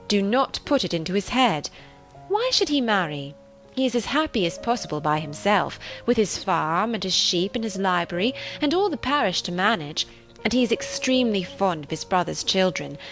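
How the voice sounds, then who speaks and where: A woman voicing a spirited, opinionated young gentlewoman in an audiobook, with emphatic, exclamatory delivery and formal period phrasing. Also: medium pitch. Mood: dismissive, insistent.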